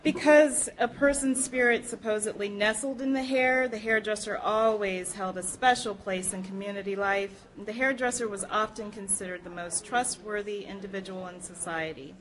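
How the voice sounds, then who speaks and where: A woman's voice: mid-range voice, steady tone, moderate pace, hardly any pauses, low-quality recording. A woman reading book excerpts aloud to an audience at a live library program. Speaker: reader at a public library program.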